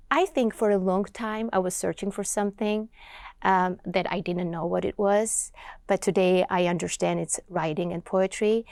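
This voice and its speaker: A woman with a Georgian accent talks as a guest in a studio interview, mid-pitched and thoughtful. Her delivery is earnest and unhurried. The sound is close and dry with no room echo. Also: gravelly, darkish tone. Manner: reflective, earnest, unhurried storytelling. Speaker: poet and writer, interview guest.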